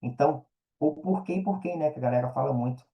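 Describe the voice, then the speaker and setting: A man's voice: a little high, fast talker, lo-fi sound. A Brazilian man speaking casually and earnestly as a podcast guest, in colloquial phrasing, often repeating phrases for emphasis. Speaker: podcast guest, affiliate marketer and mentor.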